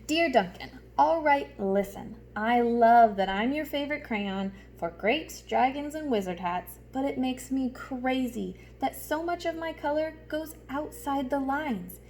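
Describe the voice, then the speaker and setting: A woman's voice: sits high, expressive, measured pace, few pauses, darkish tone. A woman voicing a neat, fussy purple crayon from a picture book, scolding insistently. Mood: exasperated.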